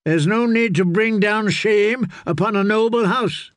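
A man voicing a cunning nobleman in a fantasy audiobook, formal, emphatic and deliberate, with a cold, commanding manner. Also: sits high, dry recording, clean studio sound, voice pushed up. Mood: conciliatory. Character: cunning nobleman, coldly angry, commanding, smooth in bargaining. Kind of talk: dramatic audiobook character voice.

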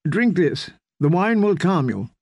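A man's voice: high-pitched, very animated, a little slow, a little mellow, no room sound, clean studio sound, pitched above the performer's own voice, full character voice. A man voicing a proud queen who gives orders in a cutting, commanding way. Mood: imperious. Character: imperious, scornful queen.

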